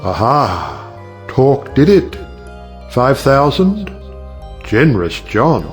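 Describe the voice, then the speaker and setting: A witty, cynical middle-aged judge played by a male actor holds forth in a stage-play style. He is sardonic and rhetorical, lecturing his family in a medium-pitched voice, with music behind him. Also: very animated, very slow. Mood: wry. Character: witty cynical older uncle, a judge who lectures on marriage. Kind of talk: stage play audiobook acting.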